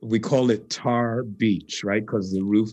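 A man from New York, a guitarist and composer, talking casually in an interview and telling rambling, animated stories with many restarts. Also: lowish voice, slow, no echo.

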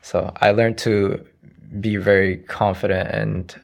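Young guy from iraq, arabic first language, low gravelly voice, hesitant, lots of "you know". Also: little pitch movement, slow. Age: around 20. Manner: soft-spoken, hesitant, frequent "you know" fillers. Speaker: interview guest, young poet. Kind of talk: casual conversational interview.

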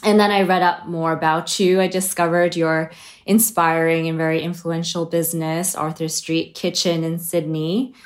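Low-voiced woman host, encouraging. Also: little pitch movement, moderate pace. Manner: encouraging, brief prompts and questions. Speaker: interview host. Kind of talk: interview conversation.

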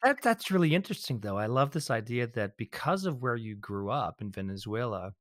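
A man's voice: medium pitch, very animated, a little fast, dry recording, clean studio sound. A man hosting an interview, curious and rephrasing the guest's points to check understanding. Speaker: interviewer host. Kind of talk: conversational interview.

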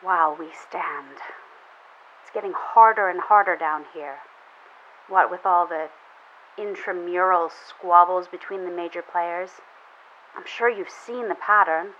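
Dull hissy radio transmission, weary woman leader, resolute, grave, deliberate, sci-fi audio drama. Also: fairly low pitch, a bit bright. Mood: weary, bitter. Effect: radio transmission filter. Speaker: community leader. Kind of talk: audio drama monologue as a broadcast distress plea.

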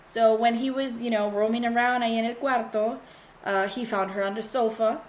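Woman podcast co-host, hoarse from illness, bilingual casual storytelling, faint noise. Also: fairly high pitch, steady tone, brisk, low-quality recording. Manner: switches between English and Spanish mid-sentence. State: sick, coarse voice. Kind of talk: casual true-crime chat.

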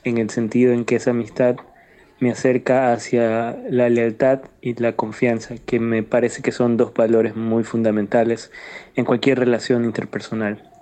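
A man with a mellow, dark tone speaks in a flat, even line. His phrasing is composed and articulate as he reflects on his experience in an interview. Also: mid-range voice, moderate pace. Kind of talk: reflective testimony.

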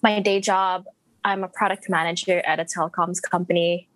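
A woman's voice: fairly low pitch, fairly even intonation, normal speed, a bit bright, dry recording. Young woman, kind of american-ish international accent, giggly guest chat, dry close sound. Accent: international English with an American lean. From Malaysia. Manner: chatty, giggles between points. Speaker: podcast guest. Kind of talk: casual chat.

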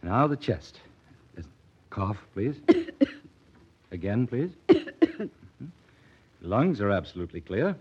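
A man's voice: lowish voice, very animated, slow, pauses often, darkish tone, slightly rough, exaggerated voice. An actor playing an earnest, awkward young male doctor in a vintage radio drama, with a hesitant, formally polite delivery. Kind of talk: 1940s radio drama acting. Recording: dull, muffled vintage radio recording.

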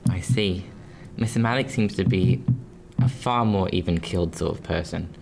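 A man's voice: low-pitched, normal speed, gravelly, over music. A man playing an earnest, worried young sailor lad in a radio drama, speaking in a deferential, hesitant way. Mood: anxious, questioning. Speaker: actor.

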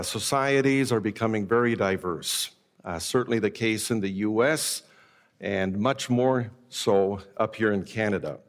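A middle-aged man who grew up in Japan gives a conference talk on ministry. He speaks in a measured way with many "uh" fillers. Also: lowish voice. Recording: long reverb of a large hall.

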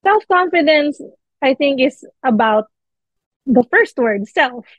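A woman's voice: sits high, lively intonation, takes it slowly, no room sound. A woman from the Philippines, a book editor, talking thoughtfully as a guest in an interview. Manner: thoughtful, with fillers. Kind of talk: interview conversation. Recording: dull dry lo-fi sound.

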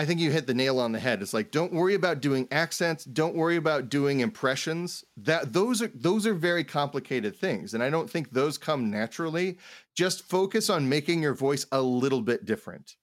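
A man co-hosting a casual chat about game mastering, talking in a halting, rambling way and often repeating words. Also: a little high, lively intonation, dry recording. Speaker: podcast co-host.

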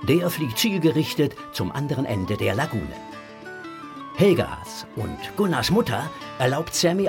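A man narrating a children's audio drama in a scripted storytelling style. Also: mid-range voice. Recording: music bed behind narration.

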